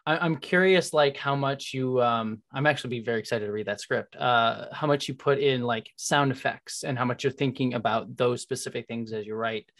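A woman's voice: very deep voice, moderate pace, hardly any pauses. A woman in her thirties hosting a casual interview over a video call, speaking into a studio microphone. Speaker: host, interviewer. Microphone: large microphone on a boom arm.

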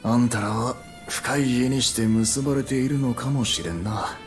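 Guy doing a plain-spoken young samurai, medium pitch, measured, video game with soft music behind. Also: steady tone, close to an everyday voice. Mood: wry. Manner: frank, measured, masculine plain speech. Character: grateful, steady young male samurai activist. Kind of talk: Japanese video game cutscene acting.

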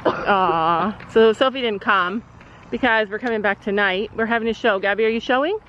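A woman's voice: mid-range voice, moderate pace, fairly bright tone. A woman in her fifties from Canada, a mother hosting a family vlog, chatting in a rambling way. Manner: chatty, rambling, coos at animals. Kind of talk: casual vlog chat. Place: home office, then car and horse barn. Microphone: handheld camera at arm's length. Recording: dull lo-fi camera audio, faint background noise.

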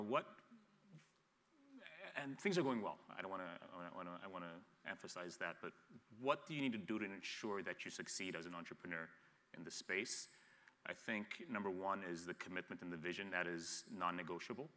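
A man's voice: low-pitched, bright, forceful tone, long reverb tail. A man in his thirties who grew up in Ethiopia, speaking frankly as an entrepreneur on a panel, with frequent restarts. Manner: blunt, frank, with frequent restarts and repeated words. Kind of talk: candid panel talk. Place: studio stage set, seated in an armchair.

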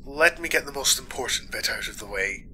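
Male doctor, very bright forceful tone, formal and reassuring, sci-fi audio drama, slight noise. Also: medium pitch, animated, poor audio. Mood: professional, reassuring. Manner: formal, measured, clinical. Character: calm, reassuring male space-hospital doctor. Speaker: voice actor. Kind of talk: audio roleplay acting.

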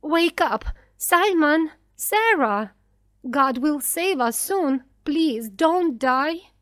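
A woman's voice: a little high, big pitch swings, slow, a bit bright, heavily acted. A woman voicing a desperate, pleading mother in a storybook, crying out insistently. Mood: frantic insistence. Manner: screaming, insistent, imploring. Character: desperate, pleading mother stranded on storm-beaten rock.

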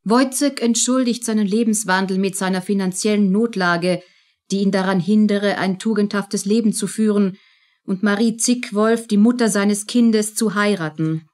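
A woman reading scripted narration in a measured, composed manner. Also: medium pitch, darkish tone.

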